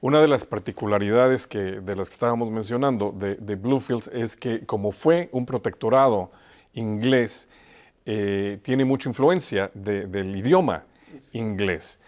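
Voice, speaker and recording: A man's voice: on the low side, measured pace, darkish tone. A man interviewing a guest conversationally, hesitating and repeating words as he forms his questions. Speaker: interviewer, host.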